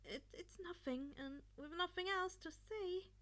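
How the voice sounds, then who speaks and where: A woman's voice: extremely high pitch, unhurried, fairly bright tone, voice pushed up. A woman voicing a timid, evasive teenage boy who stammers nervously and pleads, in a fan fiction audiobook. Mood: evasive, upset.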